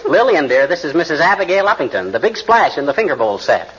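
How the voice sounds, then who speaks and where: An actor playing a folksy, grumbling husband with slangy, sardonic quips in a 1940s radio comedy. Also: male voice, on the high side, sing-song, fast, a bit bright, breathy edge, heavily acted. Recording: muffled, very noisy old radio recording.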